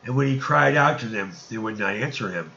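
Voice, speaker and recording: A man's voice: mid-range voice, brisk, vocal fry, sharp tone, echoey room, dull audio. A man narrating an old scripture story in a solemn, archaic storytelling manner, as an amateur audiobook reading.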